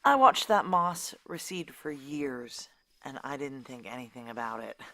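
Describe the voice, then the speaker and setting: A woman's voice: very deep voice, expressive, unhurried, sharp tone, dry recording, full character voice. An actress playing a guilt-worn, blunt female operative on the run in a noir audio drama, wry and terse. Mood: agitated, insistent. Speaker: narrator and lead character. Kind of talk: noir audio drama acting, first-person narration.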